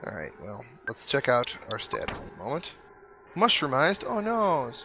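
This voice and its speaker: A man's voice: mid-range voice, sing-song, normal speed, bright, forceful tone, slight background noise, poor audio. A man giving casual commentary over a video game playthrough, thinking aloud with mild exclamations. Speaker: gaming video host. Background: video game music.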